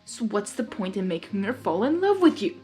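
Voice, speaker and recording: A woman's voice: mid-range voice, very animated, fairly quick, warm tone, soft background music, lightly acted. A woman voicing the living mirror reflection of a male chaos creature in a story, mocking and needling.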